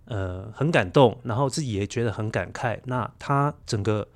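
A man in his forties with a Taiwanese Mandarin accent, speaking thoughtfully as an interview guest and explaining with gentle emphasis. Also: lowish voice, normal speed. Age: 40s. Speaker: guest, children's story podcaster. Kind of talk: reflective interview talk.

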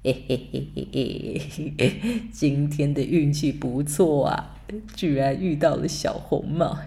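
A woman voicing a sly, hungry male big bad wolf with a gruff, wheedling voice and sneaky chuckles in a bedtime storybook reading. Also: sits low, slow pace, mellow, voice pushed down, full character voice. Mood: scheming.

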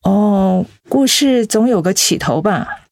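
A woman's voice: mid-range voice, animated, slow, creaky, darkish tone, no echo. A woman acting a courteous, hospitable middle-aged school director in a radio drama style. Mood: curious, friendly.

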